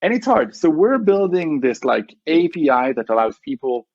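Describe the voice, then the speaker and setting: A man's voice: fairly high pitch, sing-song, moderate pace, darkish tone, no room sound, highs cut off. A man with an Israeli accent, a startup CEO being interviewed, who rambles with many fillers and restarts. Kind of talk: conversational podcast interview.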